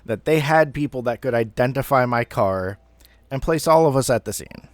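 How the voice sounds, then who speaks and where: A man in his thirties telling a personal story casually, in a rambling, halting way with some swearing. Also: medium pitch, lively intonation, dry recording. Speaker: amateur storyteller.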